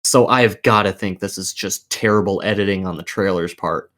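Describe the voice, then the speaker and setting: A man's voice: low-pitched, moderate pace, fairly bright tone. A man co-hosting a casual podcast, ranting in a profane, exasperated and emphatic way. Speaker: podcast co-host. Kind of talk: casual opinionated rant about games and films.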